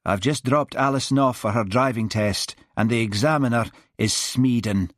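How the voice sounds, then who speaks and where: A man voicing a lazy but shrewd policeman with a Scottish Highland accent, drawling and quietly threatening. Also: normal speed, no room sound, studio quality, lightly acted. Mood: curious.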